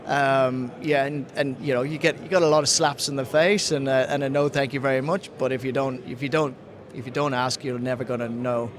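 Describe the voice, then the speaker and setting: A man's voice: medium pitch, steady tone, brisk. A man with an Irish accent, who grew up in Ghana, giving a casual interview and often restarting his sentences as he searches for words. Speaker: interview guest, sells to mining industry. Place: trade conference floor. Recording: busy conference crowd behind.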